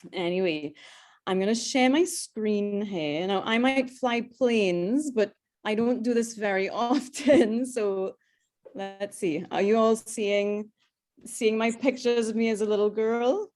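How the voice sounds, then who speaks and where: A woman in her thirties tells her own story on a video call. She talks in an easy, chatty way, with many ums and restarts. Her voice sits at a middle pitch. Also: slow, a little mellow. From Trinidad. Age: 30s. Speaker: guest speaker, airline pilot. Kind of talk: personal story talk.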